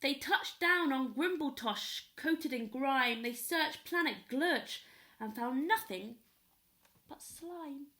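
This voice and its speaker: A woman reading a children's story aloud in an expressive storytelling style. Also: sits high. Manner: pauses to ask young listeners questions. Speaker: storyteller at a primary school.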